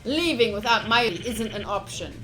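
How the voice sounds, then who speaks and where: A woman streamer playfully reading visual novel text aloud, with casual asides in an expressive delivery. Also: on the high side, moderate pace. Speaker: game streamer. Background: game music.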